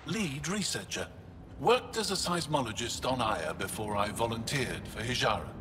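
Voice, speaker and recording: An actor playing an upbeat alien male lead researcher who talks in a brisk, matter-of-fact way. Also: mid-range voice, animated, fairly bright tone, slight background noise, lightly acted. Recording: echoey game audio with ambience.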